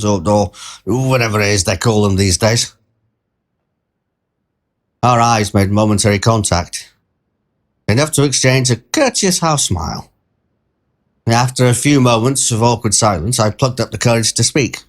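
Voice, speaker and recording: A man narrating a first-person short story in a conversational, wry and reflective way. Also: lowish voice. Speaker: storyteller, performer.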